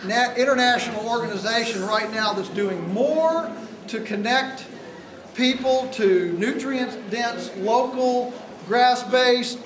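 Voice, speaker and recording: A man acting as emcee, introducing the next speaker in a halting way as he searches for words. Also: very high-pitched, background noise. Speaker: event emcee. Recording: distant echoey recording with crowd.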